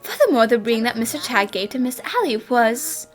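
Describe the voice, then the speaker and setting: A woman playing a confident young female detective, methodically explaining deductions in an animated mystery fan dub. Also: a little high, moderate pace, soft background music, lightly acted. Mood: assured explaining.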